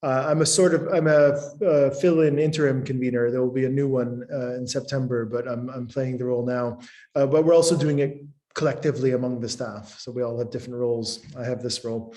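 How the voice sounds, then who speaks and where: Middle-aged man from Canada, professor type, halting delivery, video-call audio. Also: mid-range voice, fairly even intonation, warm tone. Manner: hesitant, many ums and restarts. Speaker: professor and programme convener. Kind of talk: webinar presentation.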